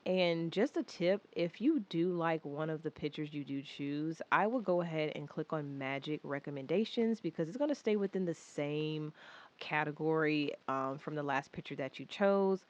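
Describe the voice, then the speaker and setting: A woman who runs a small craft business, talking through a design tutorial in a relaxed, chatty way with lots of filler words. She sounds congested from a cold. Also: sits low, moderate pace, muffled recording. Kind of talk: casual screen-recorded how-to walkthrough.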